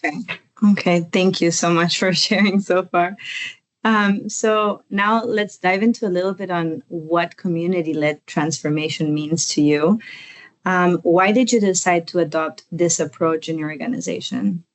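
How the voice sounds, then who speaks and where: Lower-pitched woman hosting an interview. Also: fairly even intonation, moderate pace. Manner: polite, encouraging questioner. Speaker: podcast host, interviewer. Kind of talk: interview questions.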